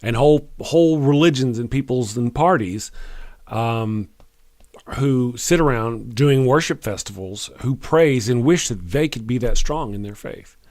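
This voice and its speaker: A Texan man talks earnestly into a close mic, plain-spoken, with restarts. His voice is mid-pitched and completely dry, with no room sound around it. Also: a little slow, darkish tone, no echo. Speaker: live stream host. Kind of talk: casual commentary.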